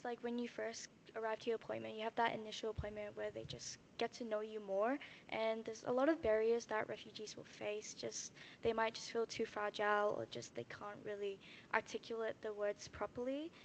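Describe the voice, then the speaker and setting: A woman's voice: fairly high pitch, a little hiss or hum, rough recording. A young woman speaking on a panel, casual and plain, often using "like" as a filler. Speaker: community panelist. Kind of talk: panel talk.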